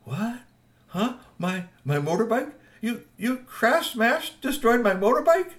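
A man voicing an excitable young male friend who shouts and stammers in a storybook tale. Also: mid-range voice, fast talker, lightly acted. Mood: shocked.

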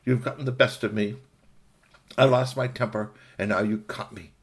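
A man's voice: fairly low pitch, steady tone, moderate pace, husky. A man voicing a small, wily, frantic rabbit in a folktale, pleading and repeating his words. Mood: resigned pleading. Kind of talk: animated oral folktale storytelling.

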